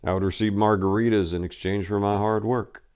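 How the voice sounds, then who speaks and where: A man's voice: lowish voice, steady tone, fairly quick, a little mellow, smooth. A man narrating his own memoir in a casual first-person reading, wry and blunt, with crude humor.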